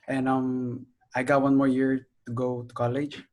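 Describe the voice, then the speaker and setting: An eighteen-year-old man introducing himself to a podcast in a halting way, restarting often. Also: medium pitch, completely flat delivery, darkish tone. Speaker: guest. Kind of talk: casual self-introduction.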